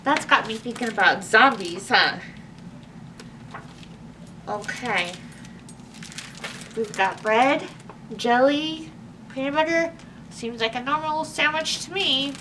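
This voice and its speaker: A woman presenting a storytime for young children, reading aloud and chatting to them in an animated, exclamatory way. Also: high-pitched, slow pace, long pauses. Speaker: library storytime host. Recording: distant microphone with faint background noise.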